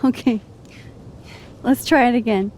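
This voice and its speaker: A woman playing an earnest, anxious young woman in a video game drama, with a halting, pleading way of talking. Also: on the high side, a little mellow, slight background noise, close to an everyday voice. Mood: subdued. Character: earnest, anxious young woman with secret timeline-hopping power.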